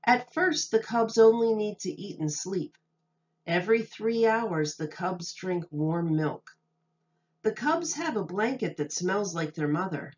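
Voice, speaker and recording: A woman teacher reading a children's nonfiction book aloud, enunciating carefully and deliberately for young listeners. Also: mid-range voice, animated, darkish tone, dull audio.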